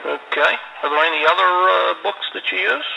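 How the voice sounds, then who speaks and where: High, bright, gravelly male interviewer. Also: normal speed, low-quality recording. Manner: asks short questions, acknowledges with brief replies. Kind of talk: archival question-and-answer interview. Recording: faint hiss.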